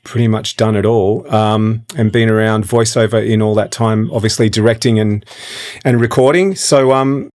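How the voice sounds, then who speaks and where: A man's voice: on the low side, steady tone, moderate pace, a little mellow. A man in his fifties, an audio engineer and voice director, explaining his process in an informal, chatty way. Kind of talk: conversational explainer.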